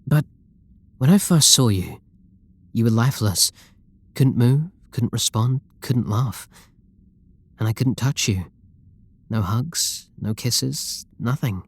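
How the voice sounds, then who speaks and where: A man's voice: mid-range voice, takes it slowly, pauses often. A man with a British accent playing an obsessive, possessive, lovestruck game developer in an intimate roleplay audio drama, doting and controlling. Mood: wistful. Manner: doting, intimate, pleading endearments.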